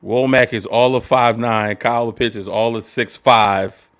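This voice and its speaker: A man co-hosting a casual sports talk livestream, measured and analytical, on a desk microphone. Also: mid-range voice, fairly even intonation, a bit bright, smooth. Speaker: sports show co-host.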